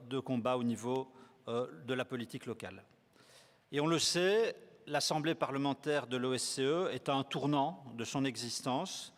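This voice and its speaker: A man delivers a formal speech, measured and deliberate, pausing on fillers. His voice sits a little high for a man. It carries into a large conference hall with a long, lingering reverb. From Belgium. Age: 40s. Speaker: parliamentarian candidate for rapporteur.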